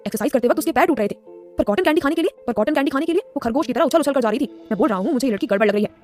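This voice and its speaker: A woman's voice: high-pitched, lively intonation, very fast, darkish tone, faint music behind. A woman voicing a haughty, irritable young businessman in an audio story, with an emphatic, defensive, scolding manner. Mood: irritated, suspicious. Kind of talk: dramatised audio story dialogue.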